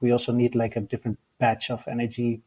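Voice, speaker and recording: This is a man with a Dutch accent, a guest in an interview. His speech stays within a narrow, flat pitch band. He hesitates a lot, with fillers and restarts between thoughts. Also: breathy edge. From Netherlands. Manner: halting, many fillers and restarts. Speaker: guest, mining entrepreneur. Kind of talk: interview answers.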